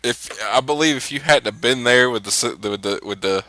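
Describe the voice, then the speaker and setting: A man's voice: medium pitch, fairly quick, sharp tone. A man chatting casually and swearing freely as a player in a tabletop game. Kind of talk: casual chat.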